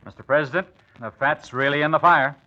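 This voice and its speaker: An actor playing a president's eager, loyal grown son in an old-time radio drama, speaking in an earnest, animated way. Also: male voice, fairly high pitch, fairly quick, over loud music, natural voice. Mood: concerned. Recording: muffled, noisy vintage radio recording.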